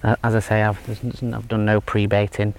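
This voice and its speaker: A man in his thirties giving a relaxed, hesitant fishing tutorial outdoors by a lake, with lots of fillers and restarts. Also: lowish voice, a little fast. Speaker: angling instructor. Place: outdoors at a lakeside fishing spot. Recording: faint outdoor background noise. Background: faint outdoor ambience.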